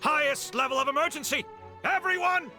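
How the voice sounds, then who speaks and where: A man voicing a panicky, excitable guard barking orders in a video game. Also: very high-pitched, a little fast, faint music behind, full character voice. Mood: panicked.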